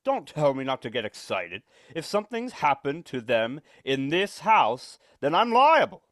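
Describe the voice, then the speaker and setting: Man playing a grumbling, animated radio-drama property owner. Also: a little high, unhurried. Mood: agitated. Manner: curt, skeptical, fretful. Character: grumbling, penny-pinching, anxious male property owner. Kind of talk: old-time radio suspense acting, live re-performance.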